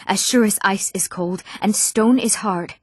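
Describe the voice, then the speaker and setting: A young apprentice smith for a fantasy game. She sounds youthful, eager and earnest, at a middling pitch. The recording is close and dry, with no room sound. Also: unhurried, slightly rough, no room sound. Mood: grieving, resolute. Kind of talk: scripted fantasy game dialogue.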